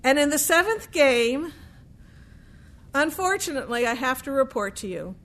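Woman, high-pitched voice, oral storyteller, deliberate dramatic phrasing, pauses between phrases. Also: animated, a bit bright. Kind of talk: live oral storytelling, narrating baseball history to listeners.

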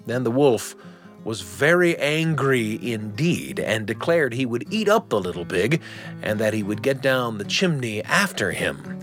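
A man's voice: medium pitch, very animated, unhurried, fairly bright tone, faint music behind. A man hosting a radio storytelling show, narrating in a scripted but conversational, wry way. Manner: conversational, wry asides to the listener.

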